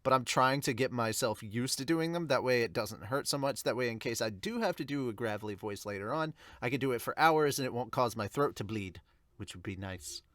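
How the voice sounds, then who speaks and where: A man's voice: mid-range voice, expressive, brisk, fairly bright tone, dry recording. A man hosting a manga review podcast, chatting to viewers in an informal, rambling way. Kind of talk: casual chat to viewers.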